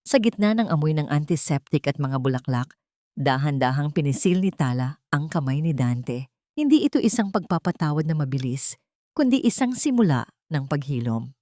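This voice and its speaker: Filipino lady reading a dramatic audiobook, lowish voice, really dry sound. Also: big pitch swings, moderate pace, dark tone, dry recording. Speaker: audiobook narrator. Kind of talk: dramatic scripted story narration.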